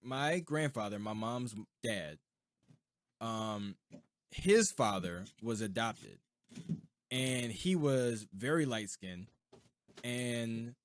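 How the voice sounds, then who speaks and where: A young man co-hosting a podcast in casual chat, rambling and quick to laugh. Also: mid-range voice, expressive. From United States. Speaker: podcast co-host.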